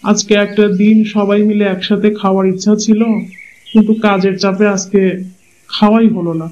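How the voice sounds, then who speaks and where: A man with a Bangladeshi Bengali accent voicing a trusting, easygoing young husband in a cartoon, speaking calmly and reasonably. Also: sits high, moderate pace, lightly acted. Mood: puzzled. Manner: calm, reasonable explaining. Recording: dull lo-fi sound.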